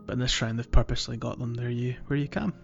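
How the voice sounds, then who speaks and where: A man's voice: mid-range voice, fairly even intonation, quick pace, vocal fry, soft background music. Man on dull, lo-fi audio. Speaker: podcast co-host. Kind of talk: casual podcast chat.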